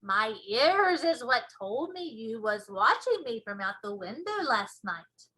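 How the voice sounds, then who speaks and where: A woman's voice: a little high, sing-song, unhurried, pushed and bright, full character voice. A woman voicing a big, proud, earnest male giant from a storybook, speaking emphatically in folksy muddled grammar with whimsical invented words. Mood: boastful wonder.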